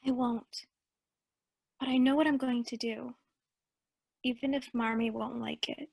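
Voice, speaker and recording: Teenage daughter, actress: determined, high husky voice with vocal fry, radio play. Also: female voice, steady tone, a little slow, a little mellow, no echo. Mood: secretive, determined.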